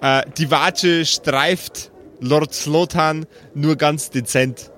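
A man's voice: a little high, fairly even intonation, extremely slow, fairly bright tone. A man acting as game master, narrating an improvised tabletop role-playing game.

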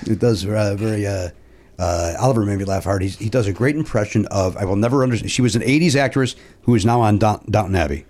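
A middle-aged man with a Chicago accent, hosting a casual comedic podcast chat and rambling with halting fillers. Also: lowish voice, a little mellow.

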